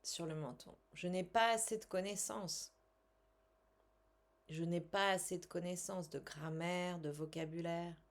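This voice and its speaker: Female coach for guided tapping exercise: slightly low pitch, very slow delivery, measured instructions with pauses. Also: steady tone. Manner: measured, instructional, with pauses between short phrases. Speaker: tapping coach and language teacher.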